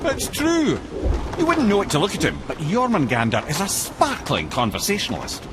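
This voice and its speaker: An actor playing a wry, courtly old talking head and wise advisor in a video game. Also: male voice, sits high, wildly swooping pitch, moderate pace, noisy background. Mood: wry, storytelling. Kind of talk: cinematic video game acting. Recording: music behind the voice.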